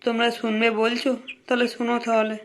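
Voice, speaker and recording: A man's voice: very high-pitched, completely flat delivery, fast, a bit bright, slight background noise. A man voicing a meek, downcast young fugitive in a comic cartoon, resigned in manner. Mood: weary, resigned.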